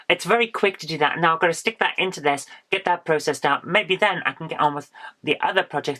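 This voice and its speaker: A woman's voice: sits low, a bit bright. Stuffy-nosed woman rambling thru a vlog at home, lots of uhs and restarts. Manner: rambling, chatty, with frequent ums and restarts. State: congested, bunged-up voice from illness. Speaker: vlogger. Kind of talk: casual vlog chat. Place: small warm home office, indoors.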